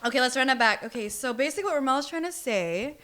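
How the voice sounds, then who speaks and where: A woman's voice: sits high, expressive, quick pace, fairly bright tone. A woman in casual podcast banter with friends, playful, teasing and slangy.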